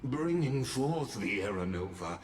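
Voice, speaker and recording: An actor playing a calm, scheming male oracle in a game cutscene, with measured, grandiose delivery. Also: medium pitch, faint music behind. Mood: solemn. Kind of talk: voiced game cutscene dialogue.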